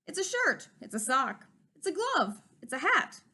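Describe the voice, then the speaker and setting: A woman's voice: high voice, very animated, normal speed, sharp tone, exaggerated voice. A woman voicing a greedy, boastful businessman who brags in a brash, salesy way.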